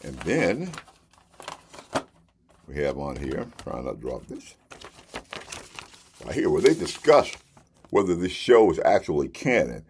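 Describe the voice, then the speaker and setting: A man's voice: very deep voice, very animated, measured pace, pauses often, slight background noise. A man hosting a casual, unscripted review to camera, talking in a conversational way and repeating phrases for emphasis. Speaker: host reviewing shows and collectible box sets.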